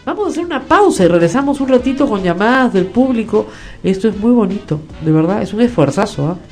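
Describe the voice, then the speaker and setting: A woman with a Peruvian accent hosting a radio interview, talking in an animated, emphatic and teasing way. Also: medium pitch, fast, faint music behind. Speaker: radio show host.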